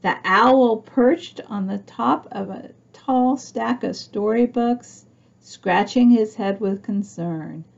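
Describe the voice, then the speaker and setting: A woman's voice: fairly high pitch, slow pace, creaky, dull audio. A woman reading a picture book aloud to young children, expressively, with chatty asides and questions for her listeners. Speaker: storybook reader for young children.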